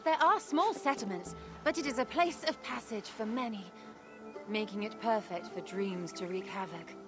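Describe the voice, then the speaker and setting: A woman playing an excitable, chatty female seer from an island in a video game, gushing and playful. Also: medium pitch, moderate pace, lightly acted. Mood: chatty, wry. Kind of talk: video game character acting. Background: game music.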